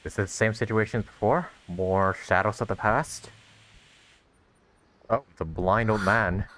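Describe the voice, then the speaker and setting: A man's voice: on the low side, fairly even intonation, measured pace, a bit bright. A man giving casual reaction commentary as a game streamer, musing aloud conversationally into a close mic.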